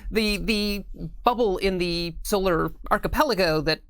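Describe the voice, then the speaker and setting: A woman's voice: medium pitch, normal speed, clean tone, no echo. A woman speaking as a guest writer in a casual interview, with a dry, joking, self-deprecating manner. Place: studio.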